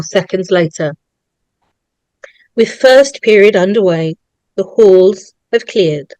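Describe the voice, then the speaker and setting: Female narrator for screenplay directions; medium pitch, unhurried pace, dry close sound. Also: a little mellow, no echo.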